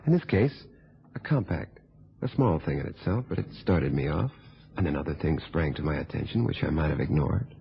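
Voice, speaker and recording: Sardonic blackmailing reporter, low husky voice, animated delivery, radio thriller, dull old recording. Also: male voice, exaggerated voice. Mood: sly, expository. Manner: smug, sardonic, unhurried storytelling. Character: cool, calculating male blackmailing newspaper reporter. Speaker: radio drama actor.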